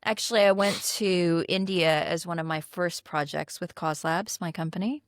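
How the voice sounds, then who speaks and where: A middle-aged woman from the Philippines, a native Tagalog speaker, talks in an earnest, conversational way, often pausing on "um" and laughing lightly. Her voice sits fairly low. The sound is close and dry, with no room echo. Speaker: guest. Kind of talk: conversational interview.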